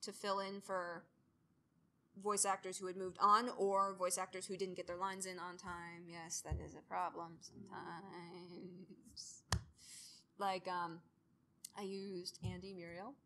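A woman's voice: on the low side, fairly even intonation, a little slow, sharp tone, a little hiss or hum. A woman in her early twenties giving an informal presentation as a student audio drama creator, rambling and self-deprecating. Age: early 20s.

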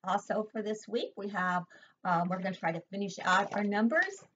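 A woman's voice: medium pitch, normal speed, fairly bright tone, slight background noise. An older woman, a preschool teacher, giving a sing-song online lesson from home and asking the children questions. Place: home room, indoors. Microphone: webcam laptop mic. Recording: dull lo-fi webcam audio.

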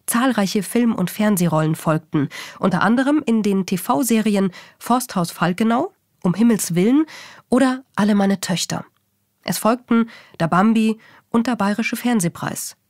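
A woman's voice: mid-range voice, a little slow, a little mellow, no echo. Polished woman presenter, dry studio sound. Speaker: podcast co-host, presenter. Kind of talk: scripted presenter narration. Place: podcast studio, indoors.

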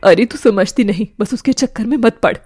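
A woman voicing a stern, bossy older mother-in-law in an animated family drama, scolding and sharp. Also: fairly high pitch, fairly quick, slight background noise, pitched below the performer's own voice, lightly acted. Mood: scheming.

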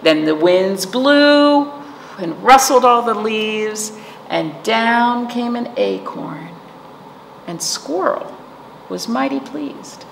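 Middle-aged woman, children's librarian, very lively intonation, unhurried, simple questioning read-aloud style, reverberant room. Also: on the high side, creaky. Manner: simple explanatory talk, checking in with questions. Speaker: children's librarian hosting virtual storytime. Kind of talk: storytime for young children, rhymes and read-aloud. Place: small indoor library room, seated. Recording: echoey room with background noise.

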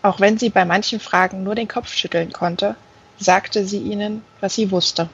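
A woman's voice: medium pitch, steady tone, normal speed, slightly rough. A woman reading a novel aloud as scripted audiobook narration. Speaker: audiobook reader. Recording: slightly dull, band-limited sound.

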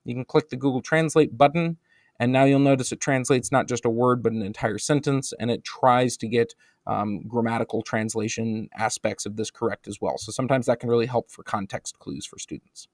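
A man's voice: mid-range voice, brisk, no echo. Dry-sounding man walking through a tutorial. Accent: American. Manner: relaxed, explanatory, frequent ums and uhs. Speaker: instructional technology trainer. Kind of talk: screencast tutorial narration.

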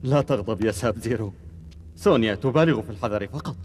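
An actor playing an easygoing, reassuring male hero in a dubbed animated action series. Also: lowish voice, fast, a little hiss or hum, natural voice.

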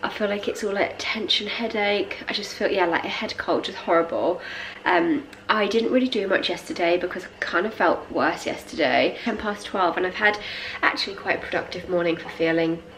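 A woman's voice: lowish voice, moderate pace, fairly bright tone, a little hiss or hum, low-quality recording. British woman vlogging with a head cold. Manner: rambling, filler-heavy, lots of "like" and "um". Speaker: lifestyle vlogger. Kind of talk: casual chatty vlog to camera. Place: home kitchen, indoors. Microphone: handheld camera at arm's length.

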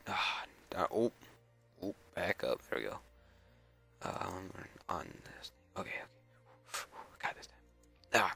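A young man with a cold and a raspy, scratchy voice, mumbling casual commentary to himself while playing a game. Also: low-pitched, takes it slowly, long pauses, bright, forceful tone, whispered, faint music behind. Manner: mumbling, self-talk with frequent fillers and repeats. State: hoarse with a cold. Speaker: gameplay video creator.

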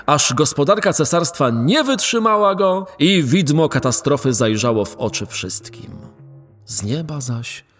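Male narrator for an amateur audio drama, very lively pitch, expressive reading, faint music under it. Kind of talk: storytelling narration of a radio play.

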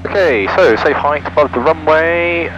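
A young man flying a small plane, calmly talking through his checklists over a headset mic. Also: fairly high pitch, normal speed, muffled recording, a little hiss or hum. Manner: calm, methodical checklist talk. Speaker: pilot. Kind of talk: flight vlog commentary. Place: small aircraft cockpit. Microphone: headset boom mic.

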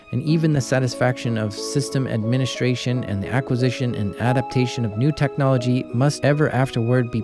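Male narrator, mid-pitched voice, grave ominous delivery, scripted horror storytelling, clear music behind.

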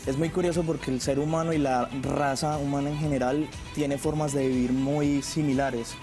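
A young man from Colombia, a teacher explaining as a studio guest, hesitant and pausing as he goes, on a lapel mic. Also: mid-range voice, little pitch movement. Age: young adult. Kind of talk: informal explanation in a TV interview. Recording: music bed behind the voice.

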